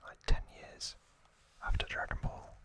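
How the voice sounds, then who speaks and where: A man hosting ASMR, whispering trivia in a breathy, hushed way, in short halting phrases. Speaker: ASMR host.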